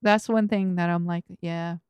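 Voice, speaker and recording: Rambling rapper woman with a smooth voice. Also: fairly low pitch, steady tone, moderate pace, a little mellow, dry recording, lo-fi sound. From Los Angeles, USA. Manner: rambling, filler-heavy, laughs often. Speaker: guest rapper. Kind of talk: casual podcast conversation.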